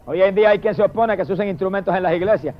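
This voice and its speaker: A male preacher with a high-pitched voice delivers a fervent evangelical sermon. He is emphatic and declamatory, stretching exalted phrases and speaking straight to his listeners. The recording is dull and lo-fi. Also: racing.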